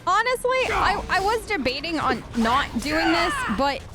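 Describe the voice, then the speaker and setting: A woman's voice: sits high, expressive, unhurried. A woman playing a video game and chatting casually in character, in a gushing, playful manner. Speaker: let's play host, role-playing her character.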